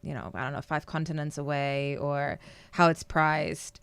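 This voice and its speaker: Low-voiced woman, smooth and dry. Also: fairly even intonation, normal speed, a bit bright, no room sound. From Iran, raised in Germany. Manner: thoughtful, laughs often while explaining. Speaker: academic guest. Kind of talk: casual interview conversation.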